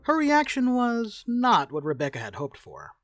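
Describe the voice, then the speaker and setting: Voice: medium pitch, wildly swooping pitch, moderate pace, a bit bright, faint music behind. A narrator reading scripted stage narration for a dramatic reading.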